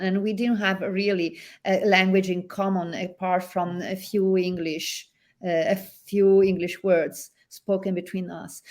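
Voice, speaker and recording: A woman with an Italian accent, an author guest speaking thoughtfully with many pauses and reading from her novel over a video call. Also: mid-range voice, a little mellow. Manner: thoughtful, hesitant with many fillers.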